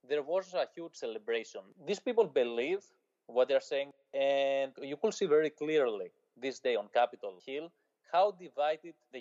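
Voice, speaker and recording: A man from Greece with a Greek accent, a journalist giving an interview, speaking in short measured phrases with pauses. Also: mid-range voice, a little mellow. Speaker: journalist guest. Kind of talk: interview answers, eyewitness account. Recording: slightly dull lo-fi audio.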